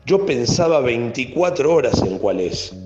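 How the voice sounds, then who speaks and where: A man's voice: medium pitch, very animated, a little slow, darkish tone. An Argentine man, a radio producer, looking back on his work in an interview. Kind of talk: recollection in an interview. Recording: roomy, dull sound with music behind.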